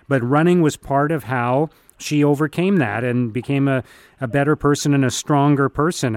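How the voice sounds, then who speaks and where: A man's voice: mid-range voice, no room sound, clean studio sound. A man hosting a radio show, chatty and upbeat, talking in long run-on sentences.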